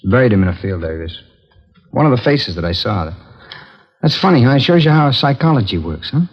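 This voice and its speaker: A man's voice: mid-range voice, big pitch swings, brisk, breathy edge. An American man acting a casual, remorseless drifter who confesses to killings in a 1950s radio drama, talking in an offhand, rambling way on a dull, noisy old recording. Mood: cold, offhand. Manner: offhand, matter-of-fact, rambling.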